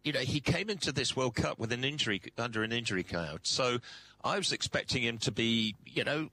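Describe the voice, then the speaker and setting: A man's voice: mid-range voice, lively intonation, fairly quick, pushed and bright, rough recording. A man with an English accent co-hosting a sports radio show, agreeing often and adding analysis. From England. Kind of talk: casual sports chat.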